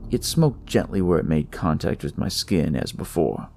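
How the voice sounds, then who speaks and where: A man's voice: extremely low pitch, sing-song, normal speed, creaky, warm tone, slight background noise. A man narrating a first-person noir horror story in a dramatic storytelling style.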